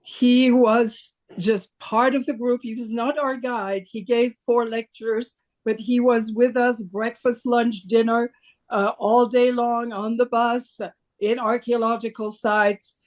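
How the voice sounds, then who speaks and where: A woman's voice: fairly high pitch, level tone, slow pace, a little mellow. A woman from Belgium, a book club member, telling an earnest story on a video call. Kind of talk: video call group discussion. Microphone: computer mic on video call.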